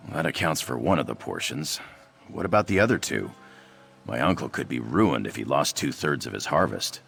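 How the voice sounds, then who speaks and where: Male actor as earnest young half-orc game character: rumbling bass with fry, swinging intonation, self-effacing. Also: big pitch swings, normal speed, a bit bright, faint music behind, heavily acted. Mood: grateful, worried. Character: young half-orc, half-cat-folk man, earnest, shy, lovestruck. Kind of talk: video game character dialogue, AI-voiced.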